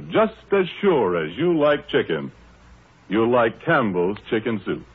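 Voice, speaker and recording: A man's voice: a little high, slightly rough, phone-quality sound. A man with an American accent announcing on 1930s radio in a polished, courteous, persuasive sales style. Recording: thin, band-limited 1930s radio broadcast.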